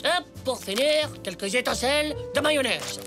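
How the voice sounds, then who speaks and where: A woman's voice: high-pitched, lively intonation, normal speed, over music. A woman voicing a cocky, scheming ten-year-old boy with a brash, slangy manner in a French cartoon. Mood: showy, eager. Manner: slangy, self-assured, brash. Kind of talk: French animated cartoon voice acting.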